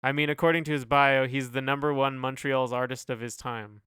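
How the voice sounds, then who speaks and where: A man with a mid-pitched, clean and resonant voice co-hosts a podcast. He talks casually about music, recorded dry with no room sound. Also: fairly even intonation, a little fast, a bit bright, clean tone, dry recording. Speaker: podcast co-host.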